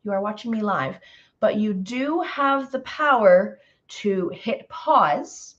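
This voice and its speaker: A slightly hoarse woman hosting a live painting tutorial, chatty and greeting viewers by name with lots of ums. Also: mid-range voice, lively intonation, takes it slowly, a bit bright, dull audio. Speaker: painting instructor.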